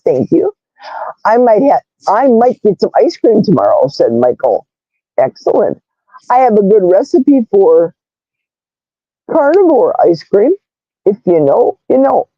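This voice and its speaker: A woman with a loud, raspy, brash voice hosting a casual livestream, reading chat comments aloud and greeting viewers. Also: medium pitch, no echo, dull audio. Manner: animated, reads comments aloud, squeals greetings. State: hoarse, vocal fry.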